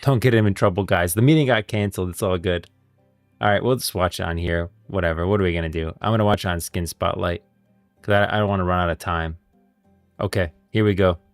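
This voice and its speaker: Low-voiced man livestreaming casually. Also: fast talker, no room sound, faint music behind. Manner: rambling, muttering to himself while clicking around. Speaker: live streamer. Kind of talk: casual livestream chat and reaction.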